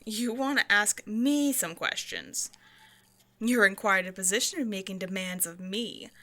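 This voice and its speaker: A woman's voice: medium pitch, measured pace, bright, forceful tone. A woman voicing a haughty vampire scientist in an audio roleplay, with a playful, condescending, mock-sweet delivery. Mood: smug, indulgent. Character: female vampire scientist, teasing, haughty captor. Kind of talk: scripted ASMR roleplay monologue addressed to the listener.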